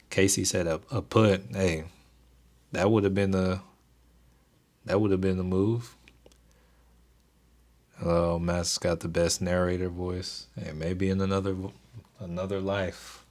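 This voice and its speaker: Young male streamer, very deep and soothing, even delivery, laid-back chat. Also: slow pace, long pauses. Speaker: stock trading livestream host. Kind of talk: casual livestream chat with viewers.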